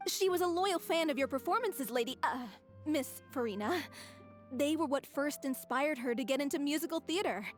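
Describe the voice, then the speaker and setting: A woman voicing a sincere young actress in a theater troupe in a video game, gentle and wistful. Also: high-pitched, lively intonation, moderate pace. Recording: game soundtrack music behind.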